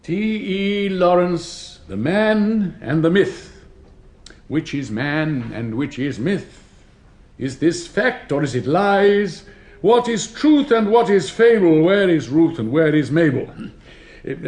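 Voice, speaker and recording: An actor in a radio play as a pompous, sentimental older public-school headmaster with an upper-class English accent, declaiming in fussy, plummy tones. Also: male voice, on the high side, a little mellow. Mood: grandiose. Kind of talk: theatrical British radio play acting.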